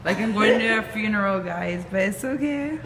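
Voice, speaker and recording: A young woman chats to her viewers in a loose, slangy way, her phone held at arm's length. Her voice sits mid-pitch, and music plays under her words. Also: lively intonation, moderate pace. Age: young adult. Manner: chatty, slangy, talks to viewers as she goes. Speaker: vlogger. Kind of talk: casual vlog chat. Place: home, then a gym indoors.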